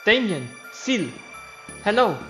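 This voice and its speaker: A man's voice: sits high, sing-song, slow pace, fairly bright tone, pitched above the performer's own voice, heavily acted. A man voicing a timid, guilt-ridden creature who speaks apologetically and hesitantly in a game story, over music. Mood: apologetic. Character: timid, guilt-ridden creature friend turned reluctant betrayer. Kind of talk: voiced video game story playthrough. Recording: music behind the voice.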